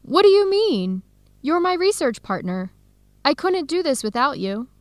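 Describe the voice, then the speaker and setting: Young American woman researcher, higher-pitched, animated, warm, eager, radio drama, dry studio sound. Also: measured pace, no echo. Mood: excited, warm. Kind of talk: educational radio drama acting.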